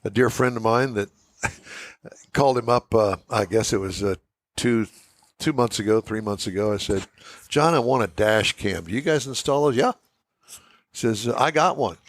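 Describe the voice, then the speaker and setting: A man's voice: mid-range voice, moderate pace, no room sound. A middle-aged man hosting a radio show, chatting casually and joking with his guest. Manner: joking, teasing.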